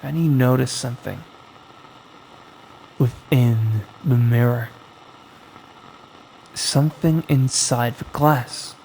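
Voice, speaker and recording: A man narrating a scripted horror story as its storyteller, in a dramatic, suspenseful way with long pauses. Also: medium pitch, vocal fry, darkish tone, slight background noise, poor audio. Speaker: storyteller, host of a horror anthology.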